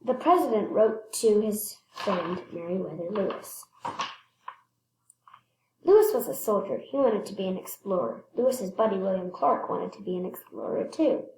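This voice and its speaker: A woman reading a children's picture book aloud expressively, stressing words and pausing to point things out. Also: mid-range voice, expressive, darkish tone, echoey room, a little hiss or hum, rough recording. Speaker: read-aloud storyteller.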